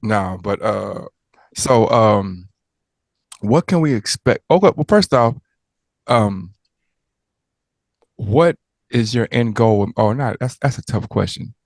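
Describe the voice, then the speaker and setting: Rambling, joking man host with a low voice. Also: expressive, slow, gravelly, long pauses, dry recording, studio quality. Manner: rambling, joking, prone to tangents and stammers. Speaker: interviewer and host. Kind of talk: casual interview chat.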